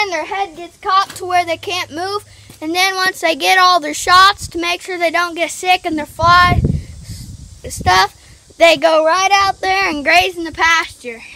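A woman's voice: extremely high pitch, pushed and bright. A teenage girl giving a casual walking tour of her family farm outdoors, talking plainly and tying her points together with lots of "so". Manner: chatty, plain, many "so" fillers.

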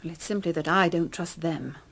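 Husky, anxious wife. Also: female voice, sits low, brisk, fairly bright tone, heavily acted. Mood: anxious, distrustful. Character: anxious, distrustful English wife. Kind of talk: radio drama acting.

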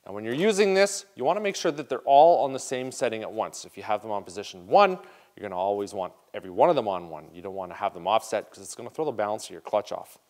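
A man's voice: on the low side, expressive, quick pace, a little mellow. A man in his forties hosting a casual, upbeat how-to demonstration in an indoor garage workshop, talking straight to viewers on a lapel mic. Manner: upbeat, direct, talks to viewers as "you guys". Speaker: show host demonstrating snowmobile parts.

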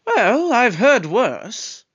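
A man's voice: very high-pitched, big pitch swings, fairly bright tone, husky, lo-fi sound, exaggerated voice. A man voicing an eccentric, breezy male time traveler in a fan audio drama, talking in a light, offhand way. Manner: light, offhand, drawn-out delivery.

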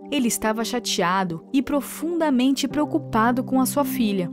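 A woman tells a children's fairy tale in a slow, clear storytelling voice. Her voice is a little high, and clear music plays behind her. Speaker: narrator. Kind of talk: scripted children's story narration. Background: background music.

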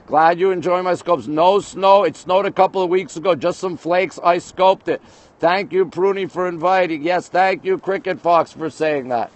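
A man from Montreal, Canada hosting a casual livestream from a high-rise balcony at night, greeting viewers by place and reading comments aloud. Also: normal speed. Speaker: livestream host. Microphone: phone camera held by speaker.

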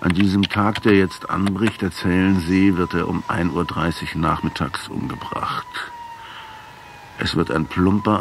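A man's voice: deep voice, a little hiss or hum. A male actor in a radio drama playing a troubled, insistent intellectual who questions and challenges. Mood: grave. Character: troubled Argentine intellectual interrogating a revolutionary, also arguing as Fidel. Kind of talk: radio drama acting and narration.

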